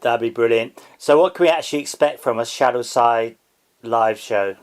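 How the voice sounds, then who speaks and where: A man's voice: medium pitch, normal speed. A man interviewing a musician in a casual, conversational style.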